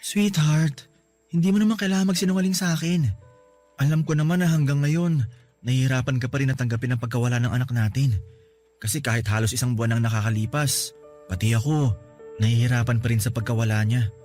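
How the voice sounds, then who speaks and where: A man voicing a loving young husband who gently consoles his wife in a radio drama. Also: mid-range voice, steady tone, fast talker, soft background music, natural voice. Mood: tender. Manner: gentle, soothing, reassuring. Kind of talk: Filipino radio drama acting.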